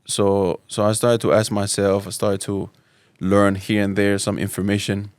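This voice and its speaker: A man's voice: on the low side, flat delivery, moderate pace, a little mellow, dry recording. A man in his thirties from Spain, a podcast guest telling his life story in a thoughtful way, often restarting and mixing in Arabic phrases. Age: 30s. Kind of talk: relaxed personal storytelling.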